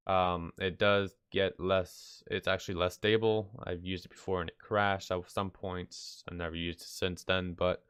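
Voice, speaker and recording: A man hosts a casual how-to video in a deep, clean voice, slightly nasal with a head cold. He rambles and hesitates in an offhand way. The sound is dry and close on the microphone. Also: a bit bright, clean tone, dry recording. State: sick with stuffy nose. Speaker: video tutorial host. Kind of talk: casual unscripted software tutorial. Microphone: close mic, screen-recording voice-over.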